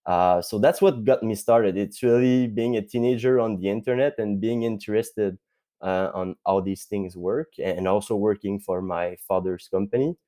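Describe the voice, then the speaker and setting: Man, Quebec French accent, low pitch, warm mellow tone, thoughtful interview guest. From Quebec, Canada. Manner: thoughtful, many filler pauses. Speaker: guest, tech company CTO. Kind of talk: podcast interview.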